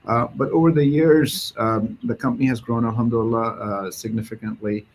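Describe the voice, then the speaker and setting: A man with a Pakistani accent answering interview questions as a business executive, in a halting way with frequent repeated words. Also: medium pitch, fairly even intonation, a little hiss or hum, low-quality recording. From Karachi, Pakistan.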